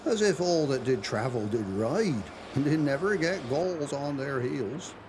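Slow, lilting Dorset man reciting verse. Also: on the high side. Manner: expressive, emphatic storytelling. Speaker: poetry reader. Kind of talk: dramatic dialect poetry recitation. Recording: noticeable background noise, 1990s television soundtrack.

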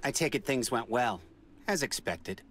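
A man's voice: mid-range voice, lively intonation, moderate pace, a bit bright, a little hiss or hum, close to an everyday voice. An actor playing a cool, flippant young male android in a video game cutscene. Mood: cool, smug. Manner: flippant, casual.

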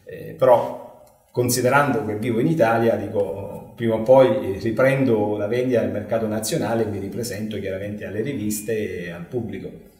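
Man, middle-aged, hesitant, filler-heavy, low voice, roomy recording. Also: fairly even intonation, moderate pace, a little mellow, recorded from a distance. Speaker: loudspeaker company owner. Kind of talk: interview answers. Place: living room showroom with speakers. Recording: roomy, off-mic sound.

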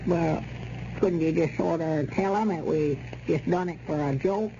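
High, bright, dim but kindly old Southern country fellow; vintage radio comedy. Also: male voice, measured pace. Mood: puzzled. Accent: rural Southern American. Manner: folksy, befuddled, muttering. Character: dim-witted, kindly, literal-minded old backwoods man. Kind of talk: 1930s-40s radio comedy acting. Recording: dull, noisy old radio recording.

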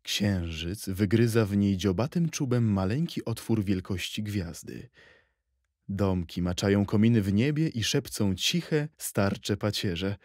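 A man's voice: fairly low pitch, unhurried, a little mellow, dry recording, studio quality. A man reciting poetry in a dramatic, scripted style for an audio play.

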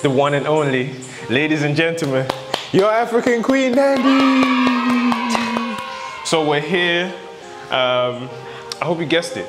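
A man's voice: on the high side, big pitch swings, a little slow, pauses often. A young man hosting a workout vlog in a home gym, joking and coaching through the exercises on a lapel mic. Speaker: fitness vlog host. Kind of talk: casual workout vlog chat. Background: music.

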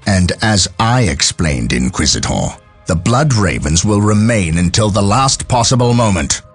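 A man playing a commanding, suspicious space soldier captain, husky and formal, in a game cutscene. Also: lowish voice, animated, a little slow, faint music behind, heavily acted. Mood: dry, commanding. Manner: clipped, authoritative, formal. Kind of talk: dramatic game cutscene acting.

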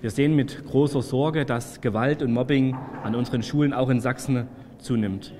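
A man in his forties talks at a middle pitch, concerned and firm in a prepared statement. Long reverb from a large room surrounds him. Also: steady tone, moderate pace, warm tone, a little hiss or hum. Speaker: state parliament member. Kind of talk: scripted political statement to camera. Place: indoors before a large window.

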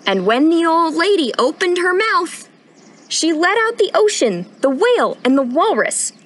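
A young woman reading a picture book aloud at storytime in an expressive, sing-song rhyming delivery, recorded outdoors. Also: very high voice, measured pace. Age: young adult. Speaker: storytime reader, intern. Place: outdoors on a wooden porch.

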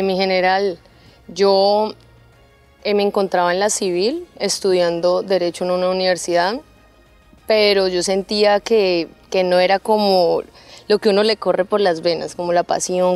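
Expressive young Colombian woman telling stories. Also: on the low side, moderate pace, soft background music. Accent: Colombian, from Cali. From Cali, Colombia. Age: 20s. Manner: expressive, informal, acts out remembered dialogue. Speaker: army junior officer, interview guest. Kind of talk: personal storytelling in an interview. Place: open front of a military hangar. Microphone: handheld microphone.

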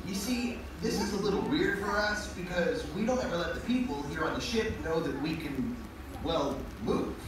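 A woman playing an eager, upbeat towel-animal puppet leader in a live puppet show, with an excitable, theatrical, stammering delivery. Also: lowish voice, normal speed, natural voice. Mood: friendly. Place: large indoor show room, filmed from audience. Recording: echoey hall from far off, noisy audience recording.

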